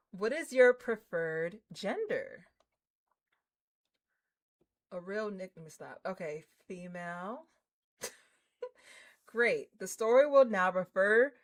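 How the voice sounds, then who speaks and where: A woman live-streaming a game, reading screen text and chatting to viewers in an excitable, slangy way. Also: on the low side. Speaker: streamer, host. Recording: music behind the voice. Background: game music.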